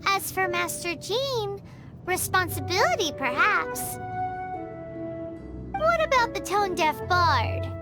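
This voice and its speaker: A woman voicing a tiny, cheeky, excitable fairy girl in a video game, squeaky and childlike with blunt, bubbly delivery. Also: slow pace, pauses often, loud music behind the voice, exaggerated voice. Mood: curious, teasing.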